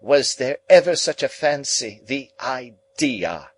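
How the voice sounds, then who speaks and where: Man putting on an indignant society lady, swooping high. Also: expressive, unhurried, husky. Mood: righteous indignation. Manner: exclamatory, emphatic, abrupt. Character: indignant, self-pitying, theatrical middle-aged society lady. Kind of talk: dramatised audiobook reading.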